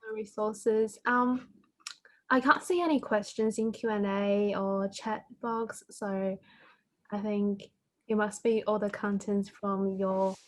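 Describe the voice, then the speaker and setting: A woman's voice: medium pitch, fairly even intonation, slow pace. A woman moderating an online event, upbeat and friendly. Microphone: video call.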